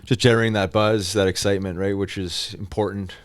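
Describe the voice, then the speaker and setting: A man with a clear, resonant, lowish voice chats about sports in a studio. He speaks casually as a podcast co-host, trading thoughts with the others. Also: level tone, quick pace.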